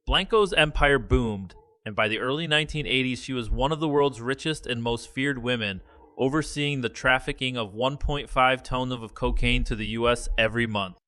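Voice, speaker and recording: A man reading a scripted news report in a measured, even delivery. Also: mid-range voice, no room sound. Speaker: news narrator.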